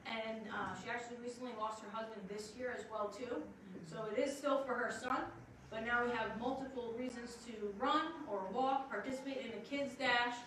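Chatty woman, heavy echo, distant. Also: fairly high pitch. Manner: chatty, upbeat, rambling. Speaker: admissions staff speaker. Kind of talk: church announcements and testimony. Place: large echoey hall, church service. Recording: distant mic, heavy room echo, background noise.

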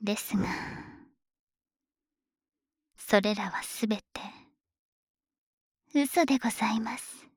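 A woman's voice: fairly high pitch, no echo. A woman playing an obsessive, possessive female dragon maid in an ASMR voice drama, speaking in polite servant phrases with a whispery, doting, menacing delivery, close to the microphone. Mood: teasing, confiding. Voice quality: breathy, whispery. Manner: slow, honorific servant speech, softly teasing. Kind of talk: Japanese ASMR situation voice drama. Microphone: close microphone, dry studio recording.

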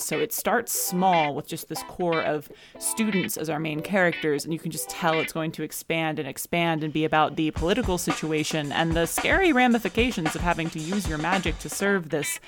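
A woman's voice: low-pitched, moderate pace. A woman giving enthusiastic, informal show recommendations in a chatty podcast style. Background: plucked guitar music.

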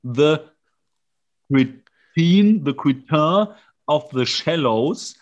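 A man co-hosting a podcast in casual, humorous banter, often mock-serious and halting as he corrects himself. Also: a little high, lively intonation, dull audio. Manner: mock-serious, tongue-in-cheek. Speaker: podcast co-host.

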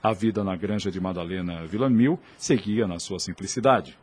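A man's voice: low voice, normal speed, darkish tone, slightly rough. A man narrating a radio drama in scripted, formal storytelling.

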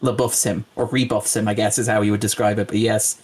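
A man's voice: fairly low pitch, little pitch movement, quick pace, husky. A man with an Irish accent co-hosting a casual film podcast, rambling with lots of hedges and restarts. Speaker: podcast co-host, film critic. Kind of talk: casual chat.

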